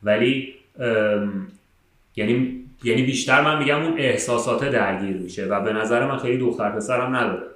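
A man's voice: medium pitch, fairly bright tone, roomy sound. A man with an Iranian Persian accent and English loanwords, co-hosting a casual podcast, thinking aloud and rephrasing as he gives opinions. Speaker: podcast co-host. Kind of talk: casual chat, giving opinions.

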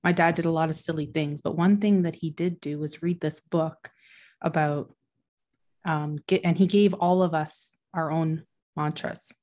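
Woman host, soft-spoken, lower pitch; casual interview. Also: fairly even intonation, a little slow.

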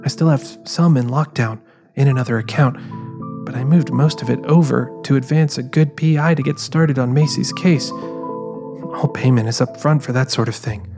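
A man's voice: medium pitch, normal speed, over music, lightly acted. A man voicing a rueful, determined young woman who narrates her story in a confiding way. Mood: rueful, resolute. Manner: musing, confiding. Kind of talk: first-person dramatized scripted narration.

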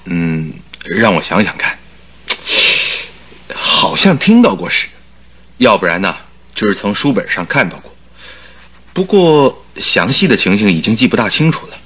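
An actor in a radio drama playing a thoughtful, agreeable doctor and sidekick with a musing manner. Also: male voice, fairly low pitch, sing-song, a little slow, long pauses, fairly bright tone, husky, exaggerated voice. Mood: pondering. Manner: musing, hesitant recollection. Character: thoughtful, agreeable male doctor, detective's loyal companion.

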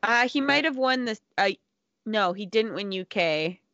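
A woman's voice: mid-range voice, animated, unhurried, a bit bright, dry recording, muffled recording. A woman co-hosting a casual podcast chat, teasing and wry.

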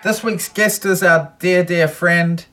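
A man co-hosting a chat podcast, introducing a guest and teasing in sweary, low-key banter. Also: fairly even intonation, takes it slowly, background noise. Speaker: podcast co-host. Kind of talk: casual banter.

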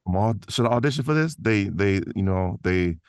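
A man's voice: fairly low pitch, lively intonation, a little fast, darkish tone, clean tone, dry recording, clean studio sound. A man speaking informally as a guest voice actor in a casual interview, chuckling between phrases.

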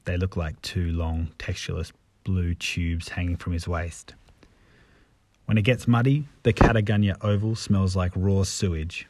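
A man's voice: deep voice, a little mellow, dry recording. An Australian man hosting a podcast informally, with a dry, understated delivery. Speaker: podcast host. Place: under a bed sheet at home.

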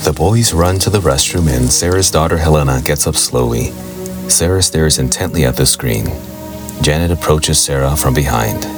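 A man's voice: very deep voice, normal speed. A man narrating a supernatural radio play in scripted present tense. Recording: loud music and rain underneath.

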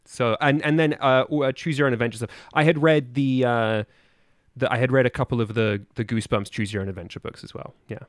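Halting man chatting casually, very dry sound. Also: medium pitch, fairly even intonation, no room sound. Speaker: podcast guest. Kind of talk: casual chat.

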